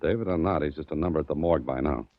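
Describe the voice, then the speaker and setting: Deep-voiced, wisecracking radio sleuth. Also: male voice, racing, no echo. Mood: probing. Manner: wisecracking, hard-boiled delivery. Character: wry, tough male amateur sleuth, brash and dogged. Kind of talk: 1940s radio detective acting and narration. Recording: muffled 1940s radio recording.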